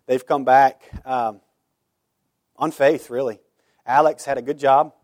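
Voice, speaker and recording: A man's voice: medium pitch, steady tone, measured pace, a little mellow, dry recording, studio quality. A man pastor giving informal church announcements and prayer requests, earnest and full of "uh" fillers. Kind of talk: church announcements before sermon. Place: church sanctuary.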